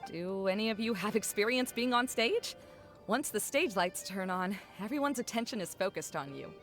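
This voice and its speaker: Actress as calm grown woman guide in a fantasy game, middle pitch, explains carefully, soft music behind. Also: moderate pace, natural voice. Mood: cautioning. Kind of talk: video game voice acting.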